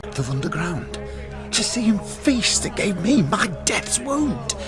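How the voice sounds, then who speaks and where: A man's voice: high-pitched, big pitch swings, music in the background. An actor playing the bitter, sorrowing ghost of a slain nobleman, lamenting in a verse radio drama. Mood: bitter, sorrowful. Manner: slow, lamenting.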